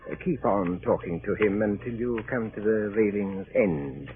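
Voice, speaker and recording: A man's voice: fairly low pitch, expressive, normal speed, natural voice. Smug British consul in an old radio play, acted by a man. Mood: slyly insinuating. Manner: drawling, hesitant, patronizing and blandly urbane. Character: smug, fussy British consul, cynical and evasive diplomat. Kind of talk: 1930s radio drama acting. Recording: scratchy, tinny 1930s radio recording.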